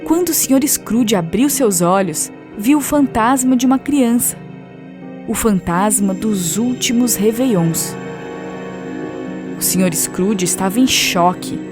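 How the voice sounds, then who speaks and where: A woman reading scripted narration for an animated children's story. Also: fairly high pitch, unhurried, music in the background.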